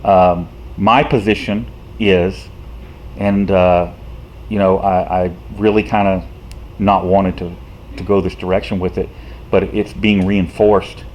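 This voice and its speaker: A man, a citizen activist, talking casually to the camera in a frustrated, rhetorical way, halting often with fillers. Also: deep voice, level tone, slight background noise.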